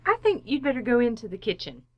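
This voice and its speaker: A woman's voice: on the high side, very animated, quick pace, muffled recording. A woman acting a prim, poised housewife and hostess, politely exasperated, in an old-time radio comedy. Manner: proper, restrained, firm.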